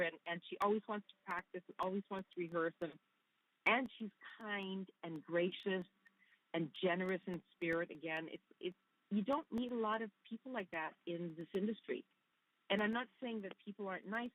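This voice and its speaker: A woman's voice: on the low side, normal speed, a bit bright, no echo, highs cut off. A woman from Canada whose first language is Hungarian, talking effusively as a guest actress in a casual interview.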